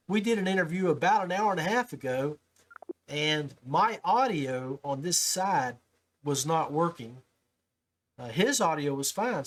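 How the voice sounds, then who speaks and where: Higher-pitched American man, folksy podcast host. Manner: folksy, informal speech. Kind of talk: casual podcast talk and interview.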